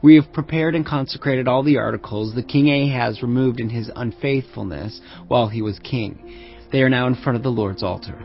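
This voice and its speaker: A man's voice: mid-range voice, fairly quick, lo-fi sound, soft background music, natural voice. An actor playing a temple priest who reports formally to his king in a dramatized scripture reading. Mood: solemn, formal.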